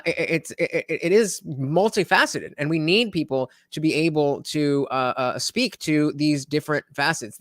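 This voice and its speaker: A man hosting a live stream panel, halting with many restarts and fillers, reading chat comments aloud. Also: medium pitch, a bit bright, no echo, studio quality. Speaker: host.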